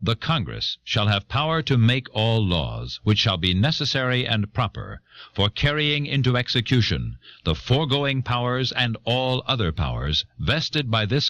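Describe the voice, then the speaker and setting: A man's voice: fairly low pitch, a bit bright. A man reading a legal charter aloud solemnly and formally, on an old cassette. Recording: dull old audio cassette.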